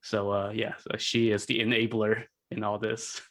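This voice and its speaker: A man's voice: lowish voice, level tone, moderate pace, a bit bright. A man speaking as a podcast guest, explaining things casually and often rephrasing as he goes.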